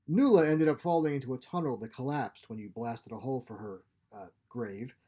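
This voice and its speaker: Exiled sci-fi scientist played by a male reader: glib schemer, theatrical, husky, mellow. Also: mid-range voice, a little fast, close to an everyday voice. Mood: wry, confident.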